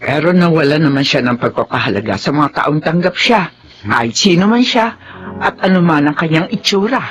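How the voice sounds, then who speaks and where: A gentle elderly grandmother in a Filipino radio drama. She sounds motherly and concerned, apologetic toward her family. The recording is somewhat dull. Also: deep voice, animated, brisk, over music.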